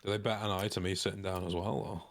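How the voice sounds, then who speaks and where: A man's voice: deep voice, little pitch movement, quick pace. A man chatting casually and jokingly as a player in a tabletop role-playing game.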